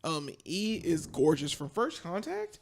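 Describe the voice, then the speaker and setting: A man with a creaky, gravelly voice and very animated pitch chats as a podcast guest. There is faint noise behind him. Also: a little slow. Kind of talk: casual podcast chat.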